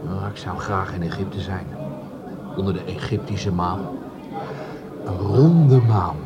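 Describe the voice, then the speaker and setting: An actor playing a dreamy, penniless plumber in a radio play, given to stammering rhapsodies and self-mockery. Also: male voice, low-pitched, takes it slowly, lo-fi sound, very noisy. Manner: stammering, rhapsodic, repeats words. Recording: café crowd behind the voice.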